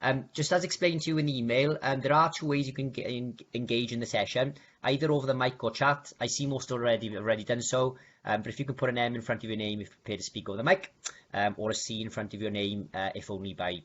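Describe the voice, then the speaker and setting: A man's voice: fairly low pitch, a bit bright, muffled recording. A man hosting an online webinar, upbeat and full of fillers like "um". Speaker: webinar host.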